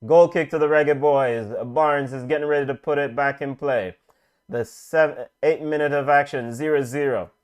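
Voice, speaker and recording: Higher-pitched man calling football. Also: fairly even intonation, normal speed. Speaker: football watch-along commentator. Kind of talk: live football commentary with casual chat.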